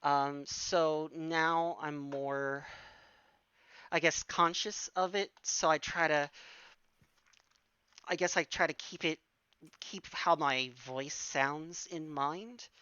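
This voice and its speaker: Bright, crisp woman, slow and halting. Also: deep voice, dry recording, lo-fi sound. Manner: hesitant, many fillers and restarts. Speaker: stream guest. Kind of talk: casual Q&A chat.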